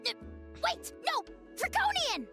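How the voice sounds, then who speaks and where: A woman's voice: very high-pitched, huge pitch swings, slow pace, music in the background, full character voice. A woman voicing a tiny, hot-tempered dragon lord in an anime game, pompous and full of indignant bluster. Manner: pompous, indignant, grandiose insults.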